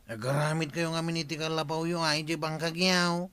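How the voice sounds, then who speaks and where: An actor playing a dutiful, firm young village aide and nephew in an Ilocano radio comedy drama. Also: male voice, on the high side, fairly even intonation, a little fast, a bit bright, clean tone, no echo, natural voice.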